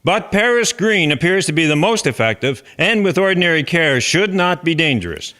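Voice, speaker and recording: A man plays an earnest chairman addressing farmers in a historical drama. His voice is forceful and sharp, pitched a little high. He lays things out formally, like someone running a meeting. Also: sing-song, moderate pace. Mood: cautioning, urging. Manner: formal, instructive, speechmaking. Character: earnest male chairman of a farmers' agricultural society.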